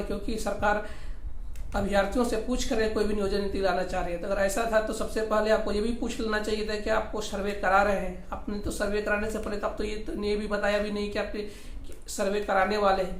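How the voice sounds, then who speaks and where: A man gives informal political news commentary, explaining in a rambling, repetitive way. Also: high-pitched. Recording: roomy echo, faint background noise.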